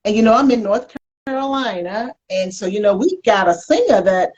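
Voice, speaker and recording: An American woman hosting a conversational interview in an animated, folksy, affirming way. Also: medium pitch, normal speed. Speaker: talk show host. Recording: dull lo-fi web call audio.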